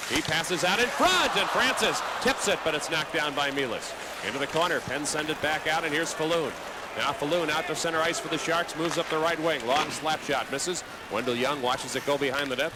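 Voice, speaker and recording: Male hockey play-by-play announcer, slightly high voice, fluent running call, loud arena noise behind. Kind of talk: live sports commentary. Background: loud hockey arena ambience.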